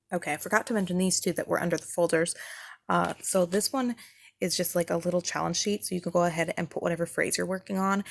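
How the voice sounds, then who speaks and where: Woman, lower-pitched, gravelly fry, casual parent reviewer, informal enthusiastic explaining. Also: slight background noise. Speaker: homeschool product reviewer, parent.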